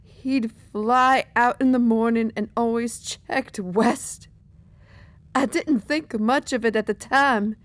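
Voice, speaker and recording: A woman's voice: on the high side, fairly even intonation, takes it slowly, dry recording. A woman voicing a weary, grieving pegasus mercenary captain with a folksy, hesitant way of talking in an audio drama. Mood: troubled.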